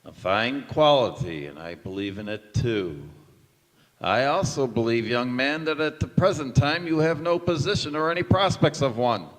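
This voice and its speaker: Guy doing a polite disapproving middle-aged dad in an old radio play, really varied pitch, big echoey hall. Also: animated, moderate pace, close to an everyday voice. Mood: dryly disapproving. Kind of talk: old-time radio drama acting. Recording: echoey church hall stage.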